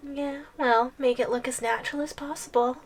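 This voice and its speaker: A woman's voice: high-pitched, fairly even intonation. A woman playing a possessive yandere giantess girlfriend in an ASMR roleplay, sweetly menacing and playfully teasing. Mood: playfully controlling. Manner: slow, playful teasing with frequent giggles. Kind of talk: ASMR roleplay audio drama.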